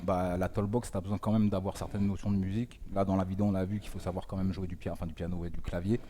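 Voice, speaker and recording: A man's voice: low-pitched, flat delivery, quick pace, mellow, a little hiss or hum. A man giving his views in a casual discussion. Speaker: panelist.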